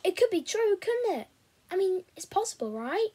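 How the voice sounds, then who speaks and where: A woman's voice: extremely high pitch, big pitch swings, normal speed, warm tone, pitched above the performer's own voice. A woman voicing a nervous, gullible young schoolboy who talks in an anxious, pleading way.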